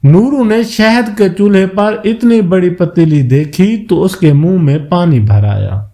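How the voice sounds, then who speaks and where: Guy reading a moral folk tale, slow and mellow, kinda higher voice. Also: lively intonation. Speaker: storyteller.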